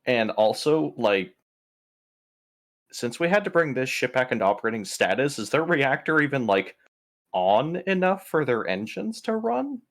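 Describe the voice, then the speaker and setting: A man's voice: medium pitch, sing-song, moderate pace. A man playing a tabletop roleplaying game, chatting casually and reasoning through the situation with some swearing.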